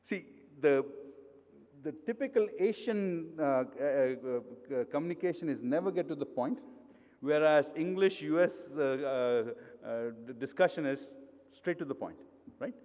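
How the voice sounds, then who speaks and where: A man's voice: fairly high pitch, expressive, a little slow, a little mellow. A middle-aged man with an Indian accent, giving a conversational conference talk full of fillers and restarts. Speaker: conference speaker. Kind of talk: conference presentation. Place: hotel conference hall. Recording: long hall reverb.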